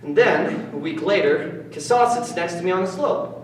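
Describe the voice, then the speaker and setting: A man's voice: high voice, expressive, moderate pace. A man playing a sensitive, defiant bullied schoolboy who tells his own story as a vivid, emotive stage monologue in short bursts. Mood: subdued, resolute. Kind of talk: dramatic first-person stage monologue. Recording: distant, echoey room with faint noise.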